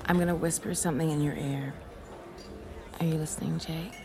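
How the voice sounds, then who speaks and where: A woman's voice: sits low, steady tone, fairly quick, background noise. An actress playing a confident, teasing, seductive woman in an intimate audio drama.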